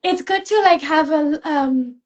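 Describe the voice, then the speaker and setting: A young woman from Spain, a fashion student, chatting in an interview, soft-spoken with many fillers and restarts. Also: sits high, measured pace, lo-fi sound. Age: young adult. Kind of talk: casual interview chat.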